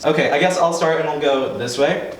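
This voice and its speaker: A young male student hosts a relaxed group conversation in a dorm common room, captured from a distance. The room is very echoey and faint noise sits under his voice. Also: on the high side, fairly quick. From Las Vegas, US. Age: young adult. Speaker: channel host, college student. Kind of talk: casual group chat.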